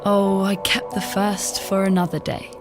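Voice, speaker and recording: Woman, verse recitation, deliberate slow phrasing, soft music behind. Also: mid-range voice, flat delivery. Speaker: poetry reader. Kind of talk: poetry recitation.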